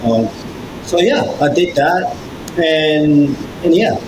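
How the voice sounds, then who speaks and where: A man's voice: fairly high pitch, animated, slow. A man in his twenties from Peru, a podcast guest telling his story casually with many fillers and restarts. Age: 20s. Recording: echoey room with loud background noise.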